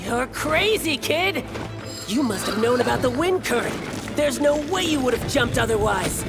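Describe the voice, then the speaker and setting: A man's voice: very high-pitched, big pitch swings, moderate pace, music in the background, heavily acted. A man voicing a smug, mocking outlaw in a video game, taunting and sneering.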